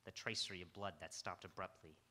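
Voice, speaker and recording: A man's voice: deep voice, steady tone, quick pace, pushed and bright, full character voice. A man playing a hard-boiled, world-weary police detective in a live radio noir drama, brooding and terse. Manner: terse, brooding, clipped questioning.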